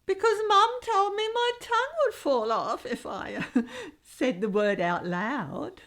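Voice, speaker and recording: A naive woman desperate to be married, in a comic radio soap. Her voice is extremely high and she pleads slowly, with childlike melodrama. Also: very animated, fairly bright tone, heavily acted. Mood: wistful, coy. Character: tearful, naive, lovelorn woman longing for marriage.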